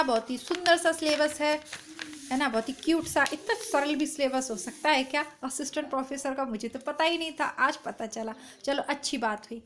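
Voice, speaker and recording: High-pitched woman teacher, voice going hoarse, chatty and direct, recorded at home on a device mic. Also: fairly bright tone. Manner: chatty, reassuring, addresses students directly. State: hoarse, voice strained. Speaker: Hindi exam-prep teacher. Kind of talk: informal lesson to camera. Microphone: no proper mic, phone or device built-in.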